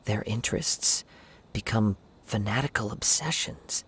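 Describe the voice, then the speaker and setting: A man playing a calm, courteous young swordsman in a game, speaking in a measured, formal and thoughtful manner. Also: on the low side, a little hiss or hum. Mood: grave, analytical. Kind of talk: English game dub acting.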